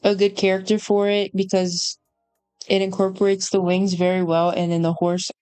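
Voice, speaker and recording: A young woman as guest graphic designer, giving measured, hesitant opinions in a casual chat. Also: little pitch movement, a little mellow, clean tone, dry recording, faint music behind. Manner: measured, hesitant, low-key.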